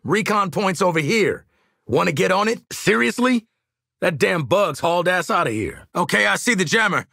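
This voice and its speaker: An actor voicing a cocky, seasoned male mercenary marksman in a video game, husky, with punchy, sardonic, informal delivery. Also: high voice, expressive, moderate pace, pushed and bright, no room sound, studio quality, full character voice. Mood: impatient, businesslike. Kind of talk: video game combat barks and quips.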